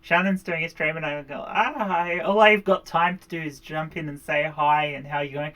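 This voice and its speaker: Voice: medium pitch, steady tone, moderate pace, fairly bright tone. A livestream host, chatting casually and laughing often.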